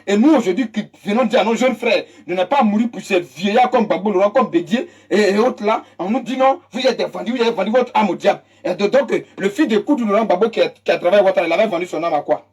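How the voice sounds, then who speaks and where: Husky-voiced Ivorian political commentator. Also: mid-range voice, darkish tone. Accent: Ivorian French. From Côte d'Ivoire. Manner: emphatic and mocking, repeats phrases for effect. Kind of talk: impassioned political monologue.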